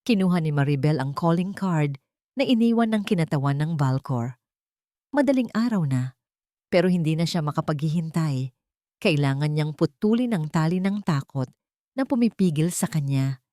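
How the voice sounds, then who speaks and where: A woman's voice: deep voice, lively intonation, moderate pace, mellow, no room sound, studio quality. A woman reading a dramatic scripted story as an audiobook narrator.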